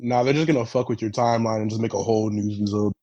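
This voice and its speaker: A man's voice: on the low side, fairly even intonation, fast, dull audio, a crowd in the background. A man on a podcast panel, chatting casually and teasing his friends.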